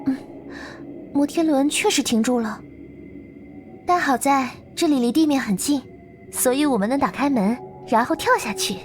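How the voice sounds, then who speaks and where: A mysterious, devoted girl from a visual novel, acted by a woman. Her voice is high and soft. She speaks earnestly and coaxingly, with music clearly playing underneath. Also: normal speed, close to an everyday voice. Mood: gently reassuring. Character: Lilith, mysterious devoted girl from beyond the world. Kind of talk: visual novel game voice acting.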